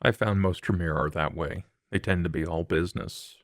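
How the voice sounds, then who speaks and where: A man playing a tabletop roleplaying game in character, with measured, formal, calculating speech. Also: low-pitched, steady tone, dry recording, studio quality. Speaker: player.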